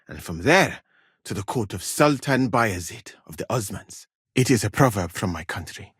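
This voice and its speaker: Husky, erudite medieval physician. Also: male voice, on the low side, animated, a bit bright, no echo, exaggerated voice. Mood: measured, learned. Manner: formal, measured, precise diction with dry irony. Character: learned West African physician, erudite, dryly witty, well-travelled scholar. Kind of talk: video game character dialogue.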